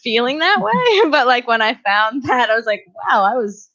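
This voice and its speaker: A woman's voice: a little high, very animated, normal speed, fairly bright tone. A woman in her twenties whose first language is Greek, chatting as a musician guest on a radio show, laughing often and using a lot of ums. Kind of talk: casual interview chat. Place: small radio studio in a church. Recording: slightly dull lo-fi radio sound.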